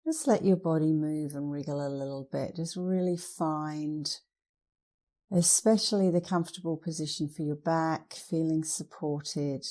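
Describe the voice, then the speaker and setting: A woman meditation instructor leading a guided meditation in a soothing, gentle, unhurried manner. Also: sits low, steady tone.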